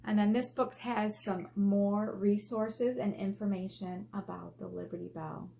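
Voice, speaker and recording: A woman's voice: mid-range voice, steady tone, unhurried, gravelly, mellow, slight background noise. An American woman, an elementary teacher, reading a children's book aloud and explaining it in simple, instructive terms.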